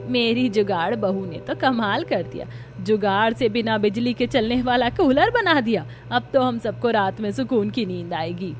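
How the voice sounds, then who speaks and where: A woman's voice: a little high, expressive, moderate pace, slight background noise, lightly acted. A woman voicing an earnest, practical woman of a poor household in a family cartoon, explaining things. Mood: pleased. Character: poor, practical mother-in-law and resourceful daughter-in-law. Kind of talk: Hindi family cartoon acting.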